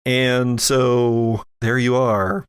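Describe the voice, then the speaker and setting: A man running a tabletop game as game master, narrating off the cuff in a halting, conversational way. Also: mid-range voice, level tone, smooth.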